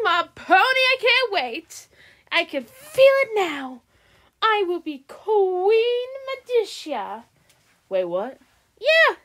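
A woman's voice: high voice, fairly bright tone, voice pushed up, heavily acted. A woman playing a cackling villain alicorn queen, Queen Medusa. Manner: cackling, theatrical, gloating. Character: gloating evil female alicorn queen, villain. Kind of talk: fan pony roleplay.

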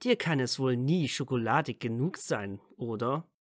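A man's voice: mid-range voice, expressive, moderate pace, dry recording, studio quality, close to an everyday voice. A man playing a tired, teasing, easygoing young wizard student in a fan fiction audio drama, casual and playful. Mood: casual, amused.

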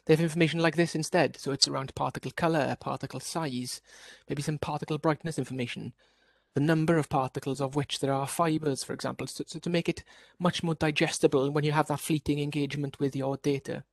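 A man's voice: fairly high pitch, steady tone, brisk, vocal fry, dry recording. A man from Wales giving an online workshop presentation as a researcher, explaining steadily with occasional restarts over a video call.

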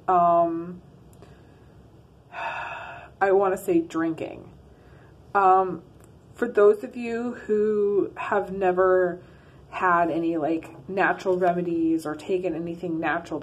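A woman's voice: fairly low pitch, slow. A woman giving a casual one-card tarot reading to her followers, rambling with many ums and restarts. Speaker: tarot reader. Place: in her car.

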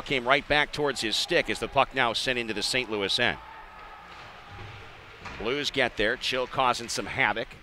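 A man's voice: medium pitch, sharp tone. A man with an American accent calling live ice hockey play-by-play from an arena in a conversational, chatty way. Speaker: play-by-play sports announcer. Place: rink-side in an indoor ice arena. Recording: clear arena ambience noise behind the voice.

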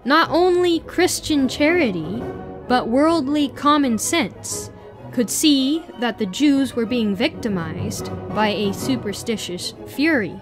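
Slow-reading woman, higher-pitched, music behind. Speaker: reader. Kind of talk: reading an article aloud.

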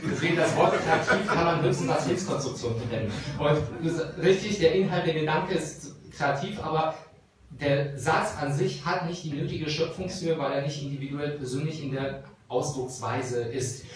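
A man's voice: on the high side, moderate pace. A man in his thirties, a lawyer, explaining things informally to a pub audience with examples, speaking into a handheld microphone. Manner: explaining with examples, lively and direct. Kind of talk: informal talk answering audience questions. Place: small crowded pub room. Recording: very echoey room from far off, noisy.

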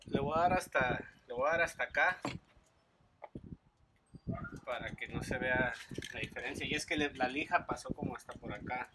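A man, chatting casually as he does a repair, relaxed and self-deprecating, with halting restarts. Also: mid-range voice, heavy background noise. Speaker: trucker vlogger. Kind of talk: casual DIY commentary. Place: outdoors in a driveway by a garage.

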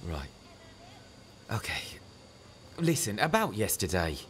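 A man's voice: on the low side, sing-song, slow, fairly bright tone, a little hiss or hum, full character voice. An actor playing a concerned, conciliatory and slightly hapless middle-aged father in a radio soap.

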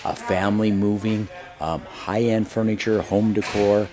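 A man's voice: fairly low pitch, steady tone, unhurried, darkish tone. A man giving a casual, unscripted walk-around narration as an auctioneer promoting a sale. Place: large warehouse showroom, later outdoor lot. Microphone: handheld camera mic, operator behind camera. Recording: camcorder audio with faint background noise.

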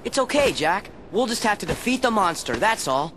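A man's voice: high voice, big pitch swings, moderate pace, fairly bright tone, slight background noise. A young-sounding man voicing an earnest, determined teenage boy hero in a video game, with an American accent. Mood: earnest, reassuring. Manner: youthful, upbeat, determined. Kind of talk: video game cutscene acting. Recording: game music underneath.

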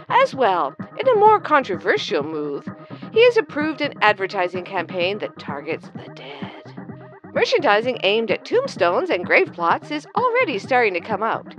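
An actress playing a polished radio arts-news presenter, reading a scripted report in an audio drama. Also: female voice, mid-range voice, highs cut off. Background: music.

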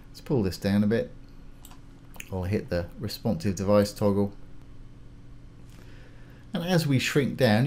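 A man hosting a casual step-by-step software tutorial, informally talking through each action as he goes. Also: on the low side. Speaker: tutorial host. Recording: clear background noise, keyboard typing.

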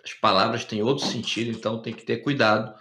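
A man's voice: mid-range voice, steady tone, fast talker, bright, forceful tone. Chatty man hosting a podcast. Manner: chatty, checks in with tag questions. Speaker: podcast host. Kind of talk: casual interview, telling anecdotes.

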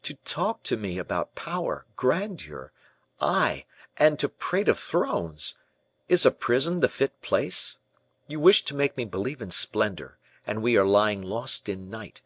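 A man's voice: mid-range voice, very animated, unhurried, fairly bright tone, breathy edge, no echo, exaggerated voice. A man reading a storybook part as a young imprisoned prince, proud, embittered and hot-blooded, in formal archaic speech. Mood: impassioned reproach. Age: young adult. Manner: formal archaic speech, rhetorical questions, impassioned.

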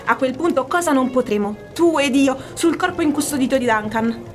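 High female voice, ambitious scheming noblewoman, theatrical radio drama, deliberate formal delivery, music underneath. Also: lively intonation. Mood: scheming, resolute. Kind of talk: Italian radio drama acting.

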